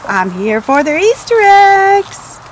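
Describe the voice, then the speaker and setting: A woman's voice: very high voice, very animated, slow, slight background noise, pitched above the performer's own voice, full character voice. A woman voicing an eager, chirpy lady visitor in a children's storybook, with sing-song exclamations. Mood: cheery, eager.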